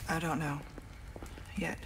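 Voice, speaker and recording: A cool, guarded spy from a cinematic video game. Her voice sits low, clipped and composed, with clearly audible ambience behind it. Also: little pitch movement, slow, background noise.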